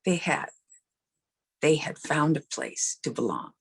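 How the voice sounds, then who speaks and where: A woman's voice: low voice, animated, slow, gravelly, heavy background noise. A woman telling a story as an amateur storyteller. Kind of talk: fairy tale storytelling.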